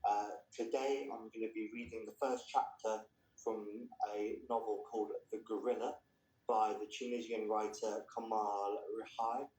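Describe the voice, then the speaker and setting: A man's voice: on the low side, flat delivery, normal speed, darkish tone. Echoey, distant-sounding man reading aloud. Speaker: reader introducing and reading a story. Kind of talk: literary reading aloud with informal introduction. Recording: echoey room, distant microphone.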